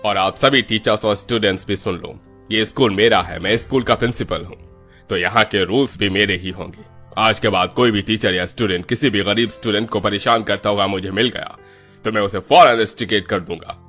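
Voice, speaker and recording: A man's voice: lowish voice, a little fast, bright, forceful tone, soft background music. A man voicing a stern but fair school principal, authoritative and emphatic, in a cartoon moral story. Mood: commanding.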